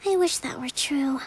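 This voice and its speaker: A woman voicing a gentle, composed young military strategist and priestess in a video game, speaking in measured, thoughtful, formal phrases. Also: high voice, noisy background. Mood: weary. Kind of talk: scripted game dialogue. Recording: music behind the voice. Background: game music.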